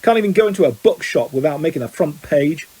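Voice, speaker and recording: A man's voice: on the high side, expressive, fast talker, mellow, husky, dry recording, low-quality recording. A man voicing a sneering, spiteful schoolboy bully in an audiobook, with a taunting drawl.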